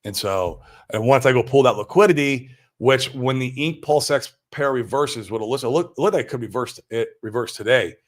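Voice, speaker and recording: An American man hosting a livestream, chatting casually and answering viewers in a slangy, exclamatory way. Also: mid-range voice. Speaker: livestream host. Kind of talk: casual chat, answering viewer comments live.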